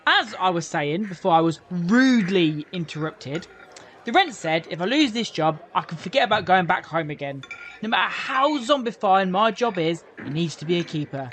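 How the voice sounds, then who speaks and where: Man playing a high-pitched cheeky young bloke. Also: expressive, normal speed, a bit bright, slight background noise. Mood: cheeky, grumbling. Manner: jokey, melodramatic banter. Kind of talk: audio drama dialogue.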